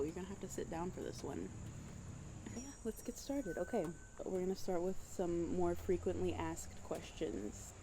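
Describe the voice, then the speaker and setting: A woman's voice: on the low side, noisy background. A young woman from the United States, a singer hosting a casual Q&A vlog at home, chatty and giggly with plenty of fillers. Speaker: singer and channel host.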